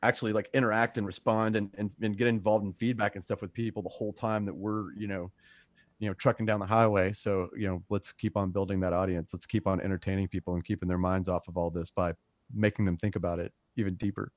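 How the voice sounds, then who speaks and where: A man's voice: on the low side, little pitch movement, fast talker, no echo. A man co-hosting a casual livestream chat, colloquial and emphatic. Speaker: co-host and actor.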